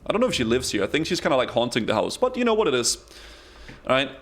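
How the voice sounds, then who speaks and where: A man from Denmark, chatting excitedly with his viewers while he streams a game. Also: mid-range voice, racing. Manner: excitable, chatty, talks straight to chat. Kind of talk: casual live-stream commentary.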